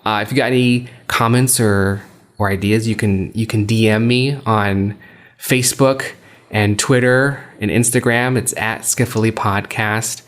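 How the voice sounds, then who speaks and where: A man's voice: fairly low pitch, steady tone, unhurried. A man hosting a podcast in casual, unscripted chat, rambling and self-deprecating. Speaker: podcast host and reader.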